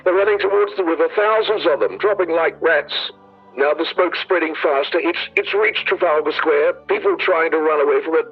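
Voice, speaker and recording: A man acts a 1930s radio newsman reporting a catastrophe, shaken and halting. His voice is husky and comes through a narrow, tinny radio sound with faint music underneath. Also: on the high side. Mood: grim, alarmed. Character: shaken radio reporter watching the invasion of London. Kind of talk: 1930s radio drama acting, eyewitness news bulletin.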